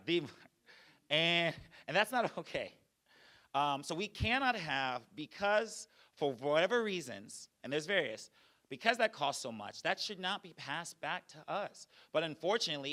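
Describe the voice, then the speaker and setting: A man's voice: a little high, bright, forceful tone. A young man running for Congress, answering audience questions at a forum in a loose way, with many fillers and restarts. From Georgia. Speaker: congressional candidate.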